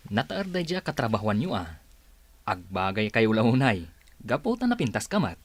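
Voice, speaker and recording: An actor in a radio drama playing a playful, teasing older brother. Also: male voice, on the low side, sing-song, normal speed, dry recording. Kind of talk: broadcast radio drama acting.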